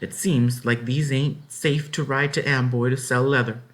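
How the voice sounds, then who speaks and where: A man voicing an angry, worn-out farm wife in a storybook, bossy and scolding in rustic speech, shrill when upset. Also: fairly even intonation, normal speed, natural voice. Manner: scolding, rustic folksy talk.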